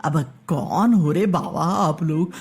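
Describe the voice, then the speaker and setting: A woman's voice: deep voice, takes it slowly, a little mellow, slightly rough, soft background music, pitched below the performer's own voice, exaggerated voice. A woman voicing a rustic village man, curious and hospitable, with a folksy questioning manner in a comic story.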